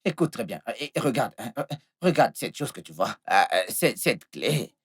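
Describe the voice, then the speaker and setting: A man's voice: high voice, sing-song, fast talker, fairly bright tone, husky, full character voice. A man playing a volatile, scheming husband in a film melodrama, repeating himself and stammering through his words. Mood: coaxing, placating. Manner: repetitive, stammering delivery. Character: volatile, scheming unfaithful husband with a short temper.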